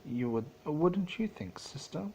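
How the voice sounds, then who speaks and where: A man's voice: a little high, animated, unhurried, gravelly, darkish tone, muffled recording, pitched above the performer's own voice. An earnest, regal mare, as a man reads her speaks formally to a sister in a storybook tale. There is faint noise in the recording. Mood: sharp, earnest.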